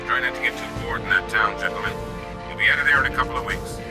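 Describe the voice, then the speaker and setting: An actor plays a male military commander briefing troops in a war game. His voice is mid-pitched, brisk and dry, with authority. Loud music runs behind him. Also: lightly acted. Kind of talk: mission briefing in game cutscene.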